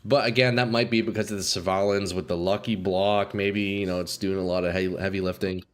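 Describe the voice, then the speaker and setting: A man's voice: low-pitched, steady tone, brisk. Congested young man streaming a game. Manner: casual, swears, talks to chat as "boys". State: congested, clearing throat often. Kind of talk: live gameplay commentary.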